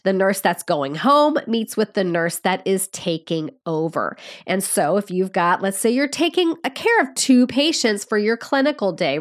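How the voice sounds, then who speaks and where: A woman with a nasal, congested voice from a cold, teaching as a nursing podcast host in a conversational, friendly, explanatory way. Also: medium pitch.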